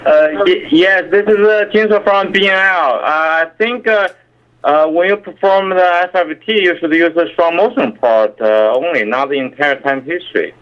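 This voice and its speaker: A man's voice: on the high side, normal speed, clean tone. A man speaking hesitantly as a technical reviewer in a conference call discussion. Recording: dull conference call line.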